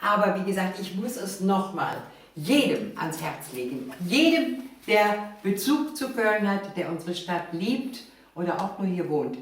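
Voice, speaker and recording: A woman's voice: medium pitch, sing-song, a little slow. An older woman hosting a casual chat, speaking emphatically and often affirming what she hears. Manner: emphatic, frequently affirming the guest. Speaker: host. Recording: very echoey room, distant mic.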